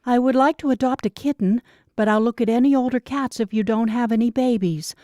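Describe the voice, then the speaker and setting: A woman's voice: fairly high pitch, fairly quick, dark tone, dry recording, voice pushed up, lightly acted. A woman voicing a self-conscious but stubborn single woman in audiobook dialogue, with defensive, dry banter. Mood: polite.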